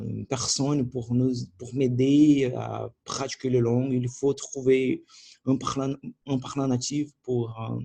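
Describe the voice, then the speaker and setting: A man whose first language is Portuguese, speaking French as a learner with a Portuguese accent in a video diary, halting and searching for words with many restarts. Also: mid-range voice, vocal fry, dark tone, breathy edge. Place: at home by his computer.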